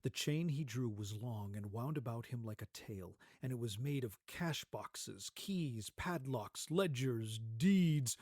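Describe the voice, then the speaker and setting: A man narrating a story dramatically, with theatrical emphasis and deliberate phrasing. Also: medium pitch, animated, darkish tone, no echo, clean studio sound.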